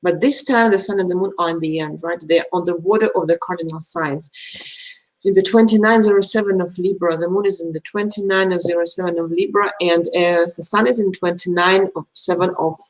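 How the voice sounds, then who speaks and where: A woman from Belarus, a native Russian speaker with a Russian accent, chatting casually and haltingly as she hosts an astrology live stream. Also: lowish voice, fairly even intonation, poor audio. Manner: chatty, halting, searching for words.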